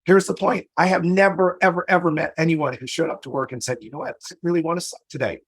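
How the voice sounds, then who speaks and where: A man's voice: a little high, fast talker, fairly bright tone, husky, no echo. A man hosting a podcast, upbeat and motivational, talking straight to his listeners while losing his voice. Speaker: podcast host. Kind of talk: energetic show opening.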